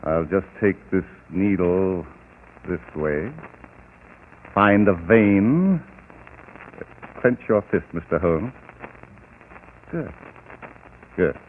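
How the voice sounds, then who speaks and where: Brief: a silky villainous doctor, lively pitch swings, tinny broadcast with faint hiss. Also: male voice, full character voice. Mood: coldly menacing. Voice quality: smooth, menacing, deliberate. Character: murderous doctor, cold, smooth and menacing. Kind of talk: 1940s radio acting.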